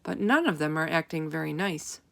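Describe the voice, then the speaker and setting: A woman's voice: low-pitched, lively intonation, brisk, vocal fry. A woman voicing a small, earnest, eager male hamster in a storybook, chirpy and emphatic. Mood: thoughtful.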